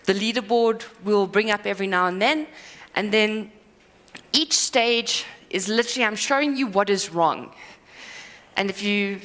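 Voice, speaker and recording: A woman giving a live conference talk, rambling and self-deprecating with quick asides and apologies. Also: mid-range voice, a bit bright. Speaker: conference speaker. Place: conference hall. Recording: hall reverb.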